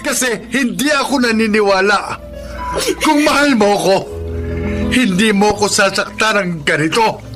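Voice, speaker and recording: A wounded, bitter husband speaks in a high male voice. His delivery is emotional and melodramatic, in the manner of romantic Filipino radio drama, with music clearly underneath. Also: a little slow. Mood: anguished, bitter.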